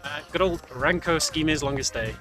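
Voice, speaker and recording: A man's voice: a little high, normal speed, music in the background. A man giving casual, chatty live commentary on a game he is speedrunning, explaining things as he goes. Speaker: speedrunner commentating his own run.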